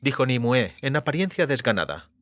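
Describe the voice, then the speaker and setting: A man's voice: mid-range voice, sing-song, a little fast, fairly bright tone, dry recording. Guy narrating a fantasy audiobook, mid-range voice, very dry close recording. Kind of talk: scripted literary narration.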